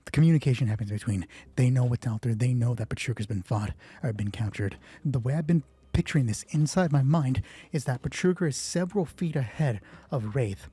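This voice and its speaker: A man's voice: mid-range voice, a little fast, warm tone, husky. A man narrating a solo tabletop game as game master, thinking aloud and asking himself questions that he then answers. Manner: deliberating, asks himself questions and answers them.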